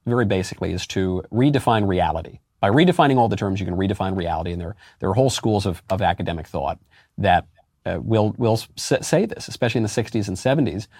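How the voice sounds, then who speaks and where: Man, deep low voice, emphatic rhetorical interview guest, dry close sound. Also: animated, quick pace, darkish tone, no room sound. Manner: emphatic, rhetorical, with sweeping pitch jumps on key words. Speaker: interview guest, conservative commentator. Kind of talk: political interview.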